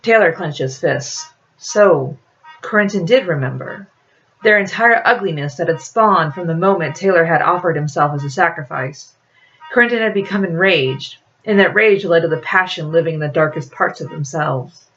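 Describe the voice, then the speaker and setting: A woman's voice: deep voice, moderate pace, fairly bright tone, highs cut off. A woman reading aloud from a novel in an audiobook-like storytelling style, as an author presenting the work.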